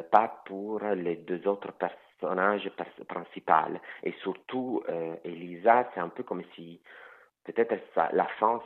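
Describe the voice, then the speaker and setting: Man, very deep voice, hesitant, many fillers and restarts, tinny phone-like sound. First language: Italian. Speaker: author guest. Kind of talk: interview answers about his novel.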